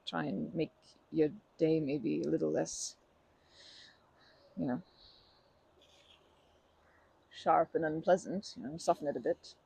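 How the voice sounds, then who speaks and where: A woman acts out a shy, kind young woman in an ASMR comfort roleplay. Her voice is very breathy and mellow with some creak, and she stammers, rambles and puts herself down in a flustered, nervous way. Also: low-pitched, full character voice, low-quality recording. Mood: touched, grateful. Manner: stammering, self-deprecating, rambling with nervous giggles.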